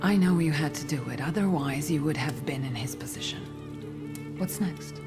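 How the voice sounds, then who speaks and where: Expressive, earnest, strong-willed sister. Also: female voice, low-pitched, moderate pace. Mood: subdued. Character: anguished, strong-willed sister of an accused gentleman. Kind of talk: dramatic video game dialogue acting. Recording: music under the voice.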